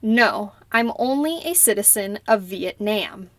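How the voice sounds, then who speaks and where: A young woman playing a polite citizenship applicant who answers slowly and carefully in a scripted practice interview. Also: mid-range voice, animated, no room sound, close to an everyday voice. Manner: slow, careful, deliberate answers. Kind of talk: scripted role-play interview.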